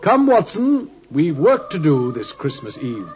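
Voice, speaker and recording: An actor playing a shrewd, courteous British detective with an urbane, theatrical manner in an old radio drama. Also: male voice, a little high, wildly swooping pitch, measured pace, background noise. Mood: purposeful, animated. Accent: British English. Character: shrewd, courteous, self-assured male detective. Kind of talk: 1950s radio drama acting. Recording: muffled old radio recording.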